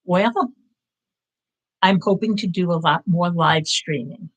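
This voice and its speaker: Woman, late fifties, channel host; unhurried, low, encouraging live-stream chat. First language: English. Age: 50s. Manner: earnest, reflective, encouraging. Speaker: language-learning channel host. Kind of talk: casual live-stream update. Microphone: live-stream setup.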